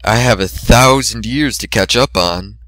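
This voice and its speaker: A man voicing an ancient pony princess in a story, sly, menacing and deliberately gloating. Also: medium pitch, fairly bright tone, dry recording, close to an everyday voice.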